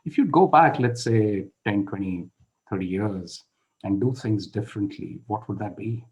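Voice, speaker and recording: A man's voice: fairly low pitch, moderate pace, low-quality recording. A man hosting a podcast, asking questions and often nodding along with short replies. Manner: frequent brief backchannels. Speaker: podcast host. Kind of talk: interviewing.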